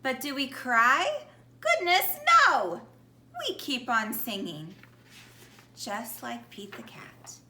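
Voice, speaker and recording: A woman reads a children's storybook aloud at a very slow, deliberate pace. Her high voice moves up and down expressively, as a teacher would for young listeners. The room around her is echoey. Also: huge pitch swings, sharp tone. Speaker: teacher reading a children's picture book aloud. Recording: echoey room with faint background noise.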